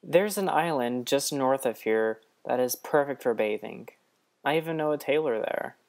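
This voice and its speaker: Give this a smitten trickster fellow played by a man, teasing and grinning, lifted well above a low natural register. It is storybook radio-play dialogue, played with flirtation. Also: normal speed, creaky, darkish tone, lightly acted. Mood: flirty, teasing. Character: smitten, grinning male trickster companion with fur.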